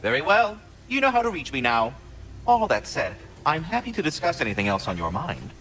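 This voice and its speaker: A man's voice: on the high side, big pitch swings, brisk, a bit bright, a little hiss or hum. A man voicing a fussy, self-important magical squirrel in a video game, eager to serve and tutor, with flowery, theatrical enunciation. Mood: showy, obliging. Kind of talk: video game character acting.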